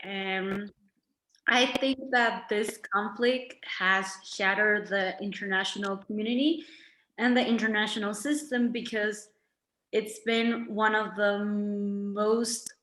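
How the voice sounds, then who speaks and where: Slow-speaking woman student in an echoey room. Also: medium pitch, steady tone, fairly bright tone. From Mexico. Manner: measured, thoughtful, with hesitations. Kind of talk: class discussion recording.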